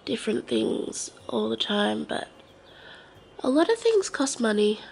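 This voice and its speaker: Young Aussie woman, congested, slow rambling vlog talk, gravelly. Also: on the high side, big pitch swings. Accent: Australian. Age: young adult. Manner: rambling, hesitant, many fillers. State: congested, blocked nose. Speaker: vlogger. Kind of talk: casual vlog chat to camera. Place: living room of a house, indoors. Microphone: handheld camera at arm's length.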